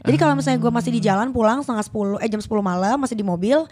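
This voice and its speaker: Fast-talking young woman, highish voice. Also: a little mellow. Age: young adult. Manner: slangy, mixes in English words. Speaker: podcast co-host. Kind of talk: casual chat.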